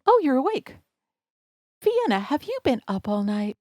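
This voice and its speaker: A woman's voice: high-pitched, big pitch swings, moderate pace, darkish tone, no room sound, studio quality. A woman voicing a patient but firm mother pony in an audio drama. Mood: gently firm.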